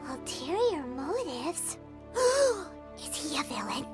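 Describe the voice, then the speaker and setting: A woman voicing a tiny, excitable floating girl companion who chirps and talks about herself in the third person. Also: high voice, slow pace, full character voice. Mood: suspicious. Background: loud game music.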